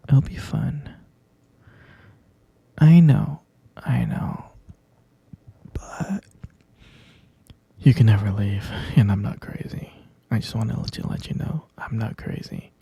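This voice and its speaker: Man playing an obsessive yandere boyfriend, sweetly menacing, very dark soft tone, heavy crackling fry, slow cooing, ASMR roleplay. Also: fairly low pitch, lively intonation, dry recording, heavily acted. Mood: insistent, defensive. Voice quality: breathy, half-whispered. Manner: slow, cooing, coaxing, repeating himself. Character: obsessive, clingy male captor, sweetly menacing lover.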